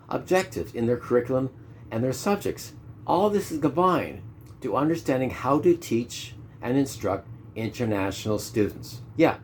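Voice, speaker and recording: Canadian man, fifties, mid-pitched voice, emphatic and repetitive, faint hiss behind. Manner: emphatic, repeats key points, rhetorical questions. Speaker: ESL teacher. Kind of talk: instructional monologue.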